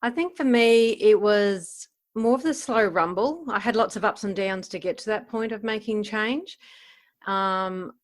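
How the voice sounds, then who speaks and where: A woman's voice: mid-range voice, a little slow. Candid middle-aged woman giving interview answers. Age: around 50. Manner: candid, informal, often voices own thoughts as quotes. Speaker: life coach and podcaster being interviewed.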